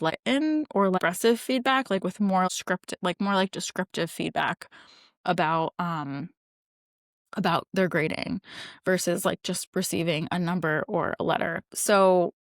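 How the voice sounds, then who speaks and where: A woman's voice: mid-range voice, dry recording, studio quality. A woman, chatting casually about her family life and using lots of "like" fillers. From New Jersey, United States. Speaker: mom vlogger living abroad. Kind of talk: casual talk to camera.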